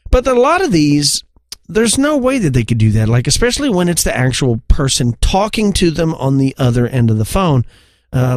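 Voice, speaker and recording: A man's voice: mid-range voice, a little mellow, no echo, clean studio sound. A man co-hosting a podcast, chatting casually and telling stories in a conversational way. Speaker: podcast co-host. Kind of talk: casual chat, storytelling.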